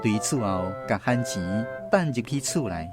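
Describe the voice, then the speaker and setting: A man's voice: medium pitch, lively intonation, normal speed. A man with a Taiwanese accent narrating a scripted first-person story for a radio drama. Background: faint guitar music.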